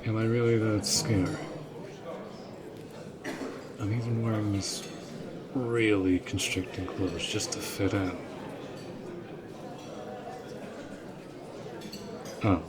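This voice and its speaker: A man playing a protective, courteous orc general in a fantasy audio roleplay, speaking in a measured, formal, earnest way. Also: fairly low pitch, steady tone, a crowd in the background, natural voice. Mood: wry, teasing.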